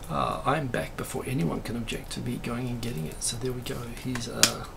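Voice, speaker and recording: Gravelly man chatting on a live stream. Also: medium pitch, steady tone, brisk, very noisy. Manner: rambling, many fillers and asides. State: raspy voice. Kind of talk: casual live-stream chat reading comments.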